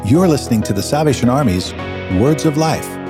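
A man hosting a radio program, reading a scripted introduction with a lively announcer delivery. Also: mid-range voice, brisk, loud music behind the voice. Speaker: radio host.

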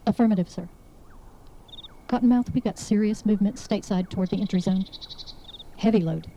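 An actress playing a dutiful army major, speaking formally and respectfully over a military radio in a radio drama. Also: female voice, mid-range voice, a little fast, dark tone, a little hiss or hum. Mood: urgent, businesslike.

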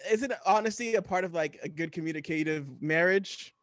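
A man's voice: fairly high pitch, moderate pace, dry recording, clean studio sound, natural voice. A man playing a needling, put-upon husband, bantering in an improvised comedy sketch. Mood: defensive. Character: needling, whiny husband sent out for groceries.

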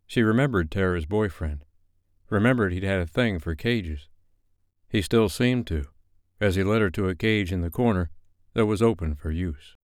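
A man reading a romance novel as an audiobook narrator, with measured, deliberate phrasing. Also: deep voice, dry recording, clean studio sound.

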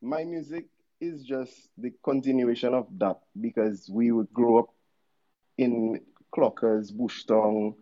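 A man's voice: mid-range voice, steady tone, takes it slowly, dark tone, highs cut off. A man in his thirties from Dominica with a Dominican accent, a musician telling his story in a casual, laid-back interview with slangy asides. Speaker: musician guest.

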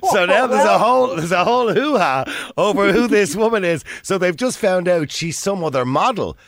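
A man's voice: high voice, lively intonation, moderate pace, vocal fry, fairly bright tone, no echo. An Irish man hosting a radio phone-in in a studio, debating conversationally, probing and cutting in. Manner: argumentative, interrupting and probing.

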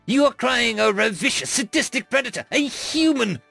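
A man's voice: high voice, animated, normal speed, pushed and bright, dry recording, faint music behind, full character voice. A man voicing a stern male alien military captain, incredulous and full of contempt. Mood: scornful.

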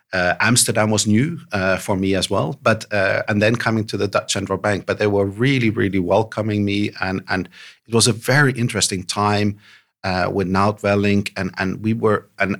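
A man's voice: sits low, creaky, a bit bright. A middle-aged man being interviewed, talking hesitantly with many restarts and fillers and laughing often. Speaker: podcast guest. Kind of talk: conversational interview.

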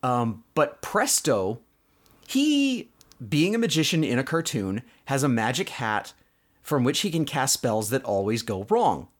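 A man's voice: mid-range voice, very animated, a little slow. A man hosting a podcast, casually recapping a cartoon episode in a conversational way with explanatory asides. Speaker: podcast host.

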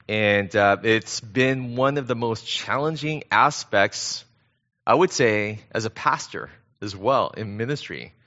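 A middle-aged American man preaching conversationally as a guest pastor, speaking in an earnest, halting way. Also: medium pitch, bright, forceful tone, lo-fi sound. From born in Korea, grew up in Southern California. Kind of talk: conversational sermon.

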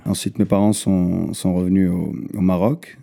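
Guy from morocco, low mellow voice, laid back, says tu vois a lot. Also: moderate pace, vocal fry. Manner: relaxed, fills with "tu vois". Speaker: guest rapper. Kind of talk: casual interview storytelling.

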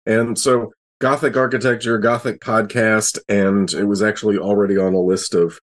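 A man's voice: lowish voice, flat delivery, normal speed. A man talking casually on a podcast panel, explaining things in a chatty way.

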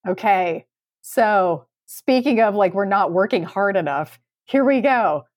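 A woman's voice: medium pitch, animated, measured pace, no room sound, studio quality. A woman co-hosting a podcast in casual comedic chat, talking in a sarcastic, emphatic way. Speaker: podcast co-host.

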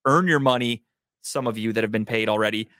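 A man's voice: fairly low pitch, fast talker, a bit bright, no room sound, clean studio sound. An American man hosting a show with casual, blunt, profane sports chat. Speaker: show host.

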